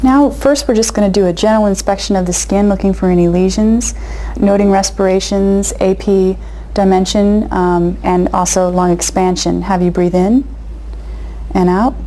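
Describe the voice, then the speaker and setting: Woman, mellow warm voice, clinician, calm step-by-step instruction, noticeable background noise. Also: mid-range voice, moderate pace, poor audio. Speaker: clinician demonstrating an exam. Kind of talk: medical exam demonstration, talking to patient. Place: exam room, recorded for video.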